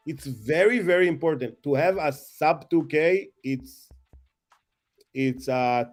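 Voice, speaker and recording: Excitable rambling man, slightly raised pitch. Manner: excitable, rambling, self-mocking, non-native phrasing. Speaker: guest. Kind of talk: casual chat.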